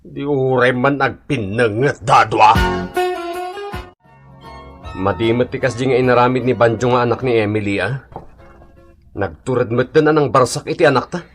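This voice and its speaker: A man's voice: mid-range voice, moderate pace, long pauses, music in the background, natural voice. A man playing a level-headed, peace-keeping husband and father in a radio comedy drama, reasoning and admonishing. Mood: disapproving.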